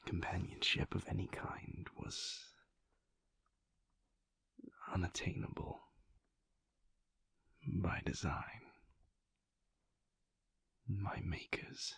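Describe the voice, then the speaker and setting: A man playing a mysterious, brooding vampire lover in an intimate audio roleplay, tender and hesitant, with halting, formal speech in a husky, breathy whisper. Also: sits low, flat delivery, fairly bright tone, dry recording, heavily acted. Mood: somber, confessional. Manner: halting, measured, formal wording.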